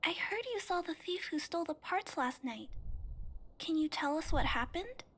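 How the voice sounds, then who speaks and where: A woman's voice: extremely high pitch, lively intonation, normal speed, fairly bright tone. A woman voicing an earnest, polite little girl in a game scene. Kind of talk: game voice acting.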